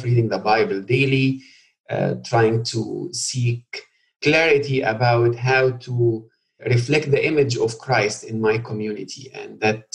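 A middle-aged man from Egypt, a scholar speaking as a guest in a podcast interview, earnest and thoughtful, pausing often with "uh". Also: mid-range voice, gravelly, poor audio. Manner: earnest, thoughtful, frequent "uh" pauses.

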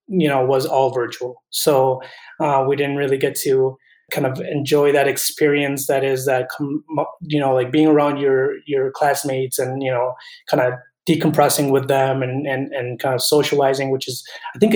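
A man's voice: mid-range voice, a little mellow, reverberant, rough recording. A man in his twenties from Colombia, a veterinary student answering interview questions in an earnest, thoughtful way with frequent restarts.

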